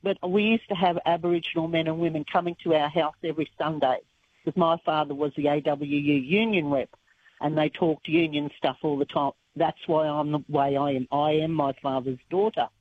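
A woman from Australia, croaky-voiced and earnest, talking emotionally in a radio interview. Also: sits low, steady tone, moderate pace, dry recording. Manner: earnest, emotional when recalling the past. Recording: tinny phone line.

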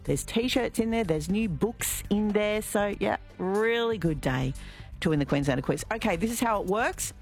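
An Australian woman presenting local radio in a relaxed, chatty way, repeating quiz clues and a phone number. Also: mid-range voice, normal speed, faint music behind. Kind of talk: casual local radio chat.

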